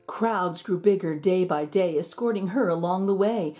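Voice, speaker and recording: A woman reading a rhyming children's picture book aloud in an expressive, measured storytelling manner. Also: fairly low pitch, faint music behind. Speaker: storybook reader.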